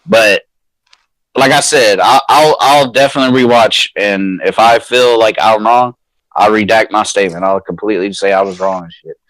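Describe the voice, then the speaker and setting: A man's voice: lowish voice, normal speed, fairly bright tone, dry recording, poor audio. Man arguing over battle rap, slangy. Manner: slangy, hesitant, repeats himself while arguing. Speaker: podcast co-host. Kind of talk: casual podcast chat.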